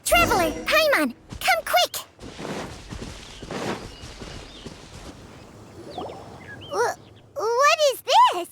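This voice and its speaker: A woman voicing a tiny, excitable fairy companion girl with a squeaky childlike voice in a video game. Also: a little slow, background noise, full character voice. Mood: alarmed.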